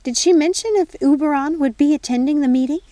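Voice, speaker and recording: A prim, easily vexed fairy godmother from a storybook , as acted by a woman narrator. The voice sits well above an ordinary speaking pitch and squeals as it chides. It is effusive and bossy, with very little room sound around it. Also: fast talker, warm tone, no room sound, close to an everyday voice. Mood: fussy, disapproving. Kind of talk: audiobook character voice.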